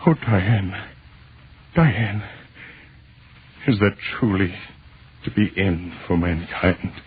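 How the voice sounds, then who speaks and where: An actor playing a desperate man battling a monster in a 1930s radio horror drama, with anguished, halting, pleading phrases. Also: low-pitched, breathy edge, exaggerated voice. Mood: shaken dread. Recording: tinny, noisy 1930s radio recording.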